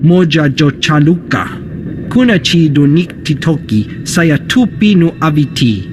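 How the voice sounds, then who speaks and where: A man's voice: on the high side, animated, measured pace, music in the background. A man voicing a haggling alien in a video game, emphatic, in an invented alien tongue. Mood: arguing.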